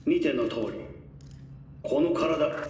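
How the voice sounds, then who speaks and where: A man acting as a haughty corporate patriarch in a game. He speaks formally and coldly in a slightly high voice, echoing and a little away from the mic. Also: measured pace, darkish tone, lightly acted. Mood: cold, imperious. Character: cold, imperious corporate patriarch making a public statement. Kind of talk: formal scripted statement in a video game. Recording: echoey, distant, faint noise.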